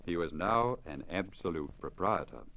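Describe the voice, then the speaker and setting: A man reading a story aloud in a measured, formal, expressive 1950s radio style, on a crackly old disc recording. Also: low voice. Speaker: story reader.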